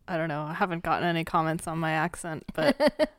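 A woman's voice: low voice, big pitch swings, a little fast, no room sound, clean studio sound. Playful, chatty woman podcast co-host. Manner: playful and chatty, leads the case narration. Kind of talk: casual true-crime chat.

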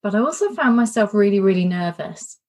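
A middle-aged woman coach giving a casual spoken workshop, candid and self-reflective, her voice a little worn and hoarse. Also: medium pitch, lively intonation, brisk. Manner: warm, candid, self-reflective, repeats words for emphasis. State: slightly losing her voice. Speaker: coach and podcast host.

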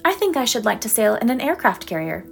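A woman's voice: a little high, very animated, quick pace, gravelly, soft background music. A woman voicing a silly, dreamy little boy duckling who talks in an eager, wishful way for a storybook.

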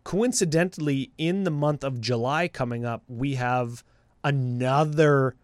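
A man's voice: medium pitch, a little slow, dry recording. A man co-hosting a casual podcast, upbeat and laughing often, his voice a bit scratchy from a cold. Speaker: podcast co-host. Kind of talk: casual chat.

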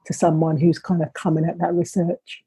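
Husky, mellow-voiced woman in conversation. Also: on the low side, monotone, no room sound. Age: middle-aged. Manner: thoughtful, measured, frequent restarts. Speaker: academic guest. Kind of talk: reflective interview conversation.

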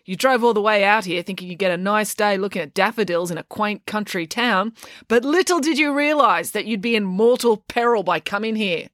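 A woman voicing a brash, sarcastic young girl in a storybook, loud, declamatory and argumentative. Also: medium pitch, fairly quick, hardly any pauses, dry recording, clean studio sound, pitched above the performer's own voice, close to an everyday voice. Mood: dramatic, urgent.

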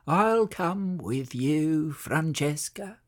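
A narrator voicing Barney, a gentle, kind sheep friend, speaking softly and quietly. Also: mid-range voice, very animated, slow, vocal fry, pitched above the performer's own voice, heavily acted. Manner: soft, kindly and quiet.

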